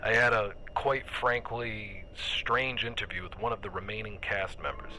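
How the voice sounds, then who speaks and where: An actor playing a composed public radio investigative host, in a measured, polished presenter's delivery. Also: male voice, on the low side, background noise, natural voice. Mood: composed, intriguing. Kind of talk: scripted public radio narration and interview. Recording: music behind the voice. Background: music.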